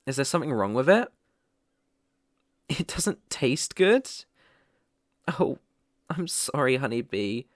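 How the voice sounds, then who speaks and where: Man acting a slow, gentle, playful boyfriend. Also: fairly high pitch, no room sound, studio quality. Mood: gently coaxing. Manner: gentle, soothing, affectionate, chuckling often. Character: caring, playful young boyfriend tending his sick partner. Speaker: ASMR roleplay performer. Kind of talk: ASMR boyfriend roleplay, intimate. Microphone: close microphone, dry.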